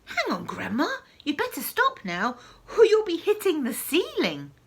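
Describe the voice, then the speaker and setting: A fretful young boy, voiced by a woman reading a storybook. He calls out and pleads in a raised, bright voice. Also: very high voice, very animated, slow, voice pushed up, heavily acted. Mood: worried, pleading. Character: young boy, anxious and exasperated. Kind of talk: storybook read-aloud.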